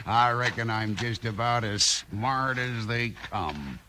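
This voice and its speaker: An actor playing a sly, smug outlaw with rustic western talk in a 1950s radio drama. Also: male voice, mid-range voice, lively intonation, takes it slowly, bright, forceful tone. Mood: gloating. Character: sly, smug western outlaw villain, feigning helpful local. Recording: faint hiss of old radio recording.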